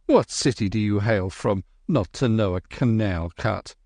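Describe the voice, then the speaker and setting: A man voicing a blustering, angry male market gardener who shouts at strangers. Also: fairly low pitch, unhurried, no echo, clean studio sound.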